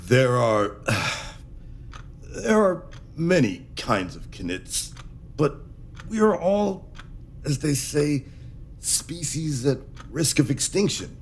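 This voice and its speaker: Halting, anxious alien man played by an actor. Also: on the high side, big pitch swings, slight background noise, heavily acted. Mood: pleading. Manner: hesitant, halting, earnest. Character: anxious, secretive alien dignitary pleading for his endangered people. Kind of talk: science fiction audio drama acting.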